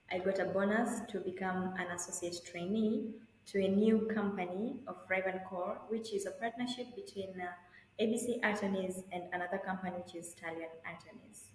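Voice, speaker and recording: A young woman from Tanzania, an attorney telling her life story in an interview over a video call in a measured, earnest way. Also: medium pitch, echoey room, long reverb tail, slight background noise, poor audio. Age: young adult. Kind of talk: conversational interview answers.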